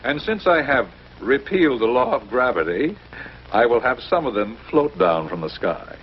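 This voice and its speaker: Sardonic big-city newsman played by a male actor, 1950s TV drama, mocking, highly animated delivery, dull old soundtrack. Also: normal speed, slight background noise, natural voice. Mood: mock theatrical. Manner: sarcastic, mocking, theatrical when reading aloud. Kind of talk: 1950s television drama acting.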